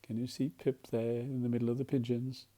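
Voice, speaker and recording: A storyteller reading a children's picture book expressively, asking the listener questions and often dropping to hushed tones. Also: mid-range voice, fairly quick. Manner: animated, dramatic, often drops to hushed tones. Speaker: volunteer storyteller.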